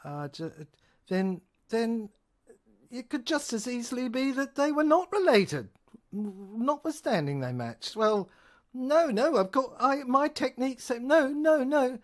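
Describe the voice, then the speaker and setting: A woman performing a dramatic solo reading of a courtroom-style argument, speaking in a halting, repetitive and argumentative way. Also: high voice, sing-song.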